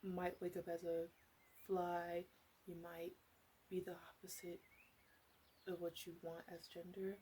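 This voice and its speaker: A woman playing a flirtatious witch who sells potions, rambling wryly and hesitantly in a soft ASMR roleplay addressed to the listener. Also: on the low side, unhurried, long pauses, a little hiss or hum. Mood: playful, musing. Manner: hesitant, rambling, wry, with asides.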